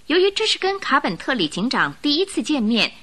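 A woman's voice: sits high, sing-song, brisk, pushed and bright. A woman reading dramatic scripted narration for a radio drama.

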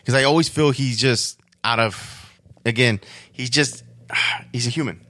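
A man's voice: medium pitch, a little slow, sharp tone, no room sound. A man chatting casually in an informal, rambling storytelling way, as a podcast guest. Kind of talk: casual chat about superhero comics and cartoons.

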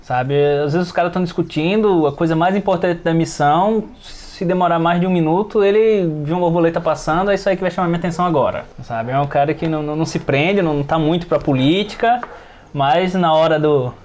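A man's voice: on the high side, fast, a little mellow. A man talking informally on an RPG podcast, often repeating phrases and adding short tag questions. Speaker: RPG podcast participant and player. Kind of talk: casual chat.

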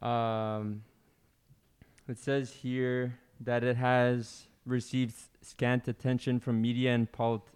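A man on a podcast reading an article aloud, careful and halting, with fillers. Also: mid-range voice, level tone, a little mellow, clean tone. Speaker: podcast co-host.

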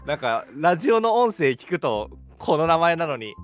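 A young man co-hosting a casual radio chat, talking in an animated, joking way. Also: fairly high pitch, normal speed. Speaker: radio show host, audio drama creator. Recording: background music under speech. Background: music.

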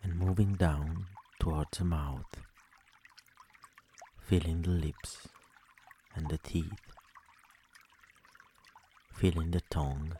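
A man leading a guided sleep meditation, speaking slowly and soothingly with long pauses between phrases. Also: sits low, fairly even intonation, warm tone, slight background noise.

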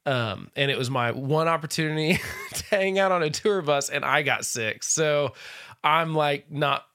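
A man hosting a casual music podcast, rambling and restarting as he tells animated stories. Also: on the high side, unhurried. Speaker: podcast host. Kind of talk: casual chat about music.

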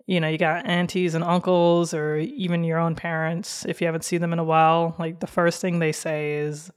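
A young adult woman hosting a podcast, talking slowly in a fairly monotone voice in a casual personal monologue. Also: fairly low pitch, clear, resonant tone. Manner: slow, self-described monotone delivery with frequent laughs. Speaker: podcast host.

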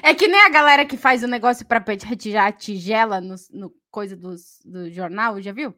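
A woman's voice: medium pitch, moderate pace, pushed and bright. A woman with a Brazilian accent bantering colloquially and teasingly as a podcast co-host. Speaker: podcast co-host and football commentator. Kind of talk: casual podcast chat.

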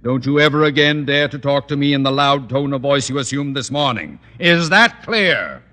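An actor in an old-time radio comedy playing a pompous, short-tempered tyrant of a boss, mock-polite and gloating. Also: male voice, medium pitch, expressive, normal speed, sharp tone. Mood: gloating, stern. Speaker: supporting actor in a radio sitcom. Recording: reverberant vintage radio stage recording.

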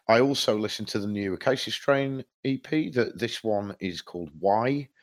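Podcast co-host, male, very deep voice, congested, rambling casual delivery. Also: normal speed. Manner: rambling, restarts a lot, swears casually. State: stuffed up with hay fever. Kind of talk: casual music chat.

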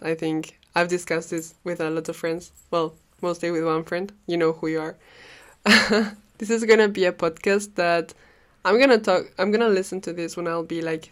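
A young woman with a Peruvian Spanish accent talks through a casual solo podcast. Her voice sits a little low, and she rambles freely, laughing often and dropping the odd swear. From Peru. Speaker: podcast host.

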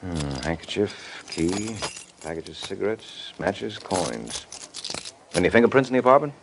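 Suave american private eye, low voice, swoopy sardonic delivery, old noisy radio show. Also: male voice, expressive, unhurried, vocal fry, exaggerated voice. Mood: breezy, needling. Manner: sardonic, breezy banter. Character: wisecracking, suave, unflappable private detective. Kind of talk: 1950s radio detective drama acting. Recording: old radio recording, background noise.

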